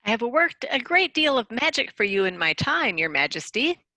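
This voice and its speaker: Royal wizard, played by a woman: little, boastful, theatrical storybook figure. Bright and very lively delivery. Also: fairly high pitch, normal speed, no echo, heavily acted. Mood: self-important. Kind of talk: readers theater story acting.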